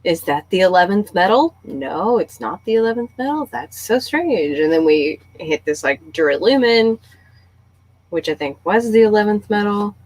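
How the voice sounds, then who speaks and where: A woman's voice: medium pitch, animated, normal speed, a little mellow, husky. A woman hosting a casual book club discussion, talking conversationally and thinking aloud as she goes.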